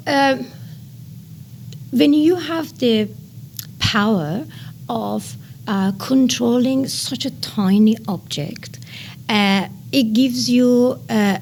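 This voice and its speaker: A woman in her thirties from Iran, speaking English with a Persian accent. She lays out science in an eager interview tone, filling pauses with "uh". Her voice carries a gravelly, fry-tinged edge over a faint bed of room hiss. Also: fairly high pitch, darkish tone. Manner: enthusiastic explainer with frequent "uh" pauses. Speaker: nanotechnology researcher. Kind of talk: explanatory interview answers.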